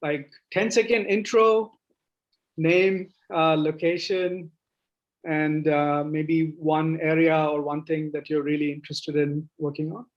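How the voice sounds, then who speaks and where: A man's voice: a little high, flat delivery, slow pace, lo-fi sound. Man hosting a video call. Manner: friendly, inviting, speaks in short prompts. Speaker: workshop host. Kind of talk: casual online meeting.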